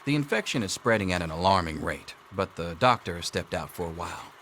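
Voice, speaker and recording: An actor playing a dry, wry schoolteacher in a video game, sardonic and deadpan as he runs an exam. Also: low voice, a little hiss or hum. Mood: dry, official. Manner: deadpan, sardonic, drawn-out emphasis.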